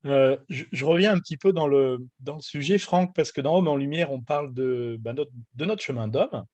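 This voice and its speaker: French male interview host, mid-pitched, dry close sound, easygoing questions. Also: moderate pace, darkish tone, no echo. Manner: friendly, loosely phrased questions with fillers. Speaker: podcast host. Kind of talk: casual interview.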